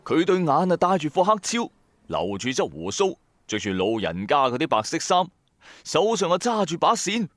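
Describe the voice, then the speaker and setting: A man with a Hong Kong Cantonese accent telling a first-person story in an expressive, colloquial way, with chatty asides to the listener. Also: a little high, moderate pace, no room sound. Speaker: storyteller, narrator. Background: background music.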